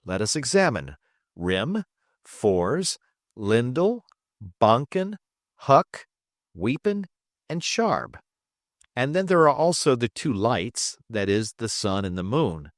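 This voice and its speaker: A man's voice: mid-range voice, very animated, takes it slowly, long pauses, no room sound, clean studio sound. A man voicing a triumphant, boastful male space trader in an audiobook, grandiose and teasing as he lectures. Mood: gleeful lecturing. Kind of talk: audiobook character dialogue.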